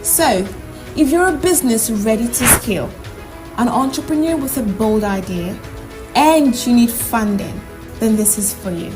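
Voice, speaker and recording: A woman reading a scripted promotional voice-over in a punchy, persuasive manner. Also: on the high side. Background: music.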